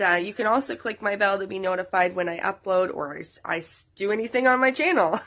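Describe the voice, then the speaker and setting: A woman's voice: medium pitch, bright, forceful tone. Sick, nasal woman vlogging. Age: 30s. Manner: rambling, with many fillers and restarts. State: sick, losing her voice. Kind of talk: casual chat to viewers.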